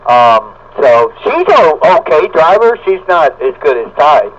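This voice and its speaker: A man's voice: fairly high pitch, big pitch swings, a little slow. A middle-aged man, chatting bluntly and casually in folksy words over CB radio. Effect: CB radio transmission. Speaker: amateur CB radio operator. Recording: muffled lo-fi CB radio signal.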